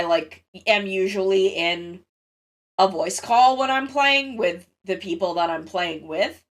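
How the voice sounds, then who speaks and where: A woman with a congested, sniffly nose chats casually to livestream viewers, rambling, interrupting herself and swearing freely. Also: mid-range voice.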